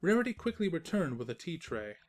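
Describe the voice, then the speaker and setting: Casting: female narrator for a comic story reading, deep register, animated intonation. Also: brisk.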